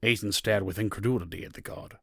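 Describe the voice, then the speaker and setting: A man narrating a dark fantasy tale as a solemn storyteller, reading from a script. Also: on the low side, fairly even intonation, quick pace, gravelly, dry recording, clean studio sound.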